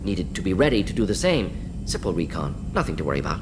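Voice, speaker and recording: Brisk alien scientist in a video game, clipped sentences, swoopy pitch, background hum. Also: male voice, very animated. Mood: matter-of-fact. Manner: clipped telegraphic sentences, rapid-fire, analytical. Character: brisk, eager alien scientist, male, ex-covert operative. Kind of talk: video game character dialogue. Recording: game audio over humming ambience.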